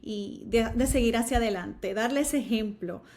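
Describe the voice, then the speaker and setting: A woman with a slightly high voice hosts an interview podcast. She talks conversationally, affirming and summing up what her guest shares. Her phrasing halts and doubles back on small words. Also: moderate pace, darkish tone. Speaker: podcast host.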